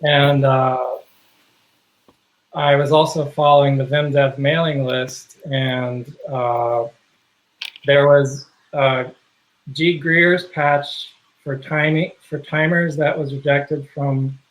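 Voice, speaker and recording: A man being interviewed on a video call, talking casually and haltingly with many fillers and restarts. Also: medium pitch, little pitch movement, poor audio. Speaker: guest, software project contributor.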